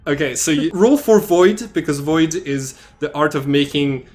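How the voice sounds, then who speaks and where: A man narrating as game master of a tabletop game, loose and relaxed, with many fillers. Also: on the high side, normal speed.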